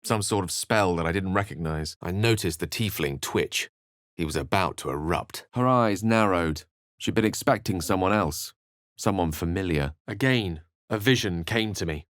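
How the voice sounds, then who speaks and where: A man's voice: sits low, moderate pace, fairly bright tone, dry recording, clean studio sound. A man playing a refined, eloquent wizard in a video game, courteous and wry, with articulate, formal and theatrical speech. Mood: grave narration. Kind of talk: video game voice lines and narration.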